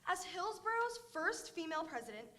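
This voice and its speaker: A peppy teen girl squealing over campaign posters, played by a woman. Her voice is very high and sharp. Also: very animated, brisk, full character voice.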